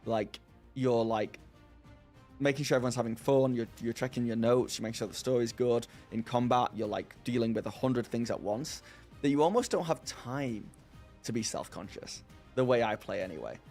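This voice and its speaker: A man's voice: medium pitch, moderate pace, a little mellow, faint music behind. A man casually chatting on his live stream and answering viewers, rambling and self-deprecating, laughing often. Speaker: streamer hosting a live chat.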